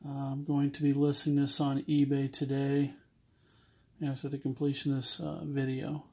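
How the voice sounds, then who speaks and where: A man giving an informal, halting, matter-of-fact product demonstration at an indoor workbench. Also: medium pitch, completely flat delivery, slightly rough. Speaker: seller demonstrating a CB radio. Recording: loud radio static, noisy amateur recording. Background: CB radio receiver noise.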